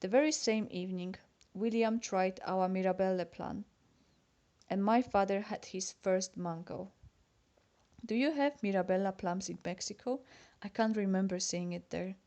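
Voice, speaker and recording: Mellow-voiced Polish woman reading a letter. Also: medium pitch, moderate pace. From Poland. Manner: chatty and confiding, addressing a friend. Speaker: letter writer. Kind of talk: reading a personal letter aloud.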